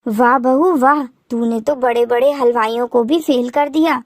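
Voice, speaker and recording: Woman voicing a high-pitched caring grandmother bird. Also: steady tone, unhurried, no room sound, studio quality, close to an everyday voice. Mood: delighted. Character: caring elderly mother-in-law bird, grandmother, gently scolding. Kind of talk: Hindi moral-story cartoon dialogue.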